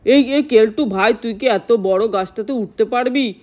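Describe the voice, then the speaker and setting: A woman's voice: on the high side, moderate pace, darkish tone, voice pushed down, natural voice. A woman voicing a chummy, confident village boy doll who schemes eagerly in a puppet story.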